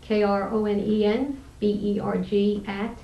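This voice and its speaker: A woman's voice: mid-range voice, flat delivery, normal speed, vocal fry, muffled recording. A woman professor as an interview guest, speaking in an articulate, earnest, explanatory way. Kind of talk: thoughtful interview conversation. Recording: roomy, distant microphone.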